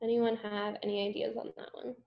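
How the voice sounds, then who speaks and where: A woman's voice: a little high, level tone, brisk, creaky, muffled recording. A young woman leading a workshop discussion over a video call from home, upbeat and moving things along. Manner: upbeat, moves things along with "cool" and "great". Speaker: workshop instructor. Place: room at home. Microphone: video call on a laptop. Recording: echoey room.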